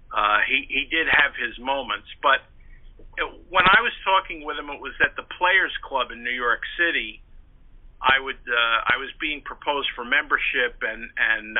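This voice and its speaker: Older male historian as interview guest, medium pitch, measured reminiscence, narrow phone-line audio. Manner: articulate, measured, wordy storyteller. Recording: thin, narrow telephone-like line.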